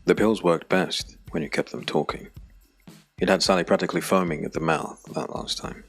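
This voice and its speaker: A man narrating a scripted dark fantasy audiobook with a deep, gravelly voice. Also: normal speed, faint music behind.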